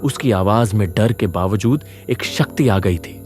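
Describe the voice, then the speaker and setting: A man with a low voice narrates a horror story in a scripted, dramatic style. Soft music plays behind him. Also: unhurried.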